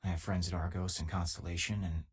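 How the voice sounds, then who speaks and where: A man playing an easygoing, wry space-faring companion in a video game, talking casually in a husky voice. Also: sits low, level tone. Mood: relaxed, conversational. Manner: casual, dryly teasing, hesitant pauses. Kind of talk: video game voice lines, conversational.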